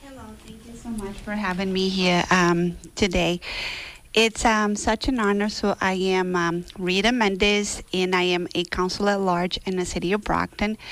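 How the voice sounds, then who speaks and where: A woman's voice: mid-range voice, a bit bright, low-quality recording. A woman running for state office gives a debate opening statement, earnest and hesitant, with frequent fillers. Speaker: political candidate.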